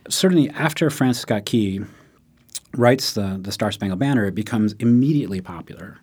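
A man explaining music history in relaxed conversation, often checking in with "right?" and acting out quoted speech. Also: fairly low pitch, a little fast, husky. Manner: animated, acts out quotes in raised voice, frequent "right?".

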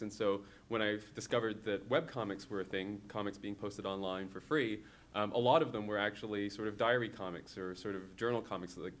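A man in his thirties, from Hong Kong, a comics creator talking to an audience and often pausing with fillers and restarting. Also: on the low side, fairly bright tone. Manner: hesitant, many fillers and restarts. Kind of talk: talk to an audience.

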